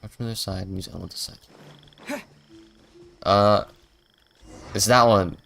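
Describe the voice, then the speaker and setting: A man's voice: fairly low pitch, steady tone, normal speed, creaky, fairly bright tone, a little hiss or hum. A man giving casual, playful, bemused livestream commentary as he plays a game. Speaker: game streamer.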